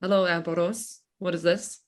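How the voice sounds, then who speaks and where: A woman acting a tender, worried wartime mother who pleads haltingly, in an amateur stage reading. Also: lowish voice, fairly even intonation, close to an everyday voice. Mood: worried pleading. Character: worried young mother and wife in wartime Budapest. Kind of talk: amateur stage play reading over video call.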